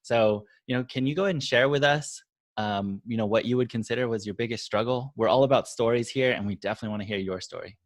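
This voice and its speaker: A man's voice: mid-range voice, fast, no room sound. A man hosting a podcast interview, asking encouraging questions with many "you know" fillers. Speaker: podcast host.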